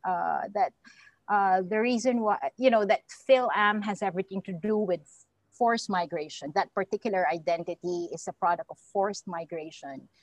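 A woman speaking in a thoughtful political discussion, halting and deliberate as she picks her words, often checking for agreement. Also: medium pitch, no echo.

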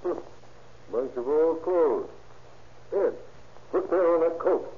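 An actor playing a terse, matter-of-fact police detective in a 1930s radio crime drama. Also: male voice, on the high side, expressive, slow. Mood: terse, investigating. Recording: tinny, hissy old radio recording.

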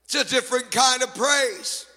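A male pastor whose first language is Spanish, preaching with shouting, sing-song phrases and calls to the congregation. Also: very high voice, moderate pace, in a large hall. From Latin America. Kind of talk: sermon. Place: church sanctuary.

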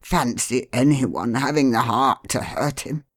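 A woman voicing a troubled middle-aged father who speaks low and heavily. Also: animated, unhurried, slightly rough, dry recording, clean studio sound, exaggerated voice. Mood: brooding. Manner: low, heavy, brooding.

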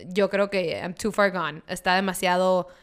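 A woman's voice: on the low side, steady tone, moderate pace, smooth. A young woman with a northern Mexican accent, co-hosting a podcast in casual chat and slipping English phrases into her talk. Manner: slangy, mixes in English phrases. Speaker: podcast co-host.

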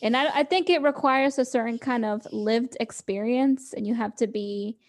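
A woman's voice: fairly high pitch, moderate pace. A young woman co-hosting a podcast, chatting casually and laughing often as she quotes people. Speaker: podcast co-host. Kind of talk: casual chat.

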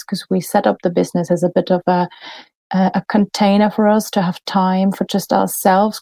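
A woman, a podcast guest talking in a relaxed way, often pausing with fillers and restarting sentences. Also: lowish voice, creaky, dry recording. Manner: thoughtful, many fillers and restarts. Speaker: podcast guest, bakery business co-founder. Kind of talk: casual interview conversation.

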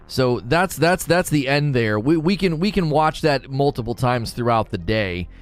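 A man's voice: mid-range voice, animated, normal speed. A man hosting a casual livestream, reading out chat messages and rambling with frequent restarts. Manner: rambling, restarts often, self-corrects. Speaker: livestream host.

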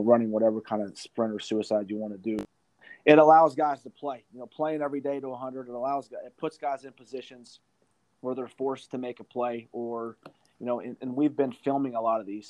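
A man's voice: mid-range voice, a little mellow, no echo, low-quality recording. Emphatic male basketball coach. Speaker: college basketball head coach. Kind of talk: interview answers about coaching.

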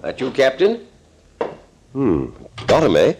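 An actor playing a mature, proper, courteous and easily flustered doctor in an old radio thriller. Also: male voice, medium pitch, huge pitch swings, slow, a little mellow, slight background noise. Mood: reproachful. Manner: proper, mildly indignant. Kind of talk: 1940s radio thriller acting.

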